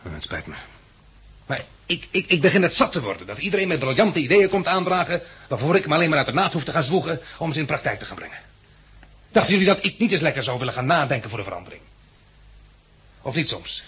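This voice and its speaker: A man's voice: fairly high pitch, very animated, fast talker, fairly bright tone, slightly rough, full character voice. An actor playing a weary, irritable man defending a farmhouse in a 1960s radio drama, speaking Netherlands Dutch. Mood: irritated.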